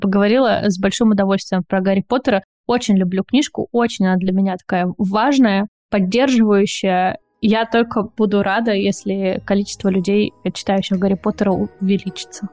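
A woman's voice: medium pitch, fairly even intonation, brisk, warm tone, no room sound. A woman guest on a podcast, talking in a thoughtful, enthusiastic way, with fillers and light laughs. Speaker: podcast guest, bookshop owner. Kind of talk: casual interview chat.

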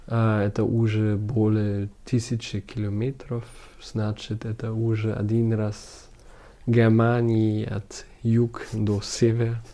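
Slow, low-voiced man with a foreign accent. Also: completely flat delivery, dark tone. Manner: halting, searching for words. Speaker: interview guest, documentary photographer. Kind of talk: conversational interview.

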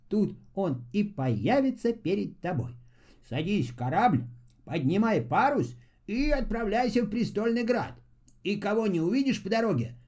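A man voicing a kindly grey-haired old man in a fairy tale, gentle, folksy and instructive. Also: high voice, pitched above the performer's own voice. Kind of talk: storybook fairy-tale voice acting.

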